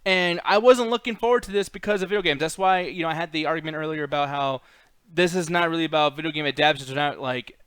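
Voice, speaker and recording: Guy w a high voice going off on a rant, swears a ton, real dry close sound. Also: fast, fairly bright tone, clear, resonant tone, dry recording. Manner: foul-mouthed, ranting. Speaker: host of a movie discussion show. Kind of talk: casual opinionated chat.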